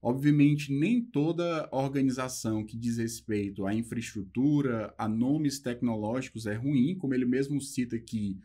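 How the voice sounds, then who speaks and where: A young man with a Brazilian Portuguese accent explaining software design in a relaxed lesson, using fillers and pausing to translate a book. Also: medium pitch. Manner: talks loosely with fillers, slows down reading English passages. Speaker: programming instructor.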